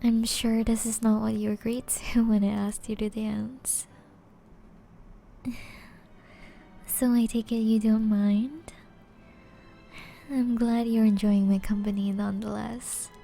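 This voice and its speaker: Casting: actress as seductive aristocratic vampire woman for ASMR roleplay; mellow, unhurried, breathy and refined, music underneath. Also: fairly high pitch. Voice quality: soft, breathy, often whispery. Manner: refined, formal, teasing, slow and deliberate.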